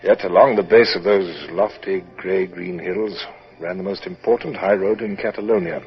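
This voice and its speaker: Captain-narrator, male, very low register, measured literary delivery, dull vintage radio drama sound. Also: vocal fry. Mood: reflective. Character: British naval captain narrating his own adventures, composed. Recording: muffled old radio, faint music behind.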